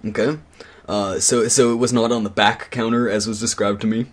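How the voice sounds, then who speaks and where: A man playing a stern, accusing attorney on a prank call, questioning in an official but halting way that repeats words. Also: fairly low pitch, little pitch movement, natural voice. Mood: probing. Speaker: prank caller. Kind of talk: interrogation on a prank phone call.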